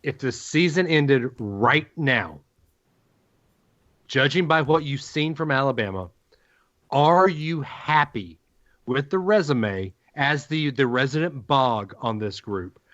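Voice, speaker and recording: A man's voice: mid-range voice, lively intonation, takes it slowly, fairly bright tone. A man hosting a sports podcast with casual banter, moving the conversation along. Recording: dull lo-fi podcast audio.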